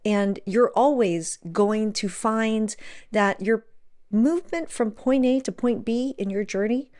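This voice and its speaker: A woman's voice: on the high side, slow pace, a little mellow. A woman podcast host talking casually on her own, giving advice conversationally, repeating words for emphasis and asking rhetorical questions.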